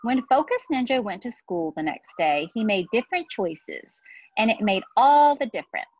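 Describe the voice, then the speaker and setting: A woman in her forties reading a picture book aloud to children, deliberate and explanatory, with casual asides. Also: a little high, animated, a little mellow, breathy edge, muffled recording. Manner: deliberate, explanatory, speaking to young listeners. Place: outdoor patio beside trees.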